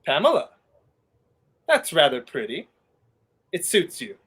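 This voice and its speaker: Guy acting charming young man on the run, old radio play style, very animated and urgent. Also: a little high, a little slow, breathy edge, heavily acted. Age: 20s.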